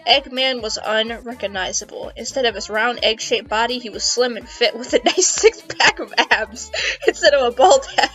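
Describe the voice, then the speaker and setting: High-voiced woman reading fan fiction. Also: normal speed, a bit bright. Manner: amused, playful, giggly. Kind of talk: dramatic reading with casual, sweary asides. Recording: music behind the voice.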